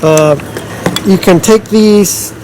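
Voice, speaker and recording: A man's voice: sits high, slow, noisy background. A man giving a casual, unscripted product demonstration, explaining loosely and informally with trailing sentences.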